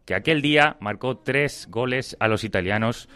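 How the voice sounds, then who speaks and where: A man's voice: mid-range voice, normal speed, pushed and bright, clean tone. A man co-hosting a radio sports show, giving background and asking long, explanatory questions. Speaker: radio co-host.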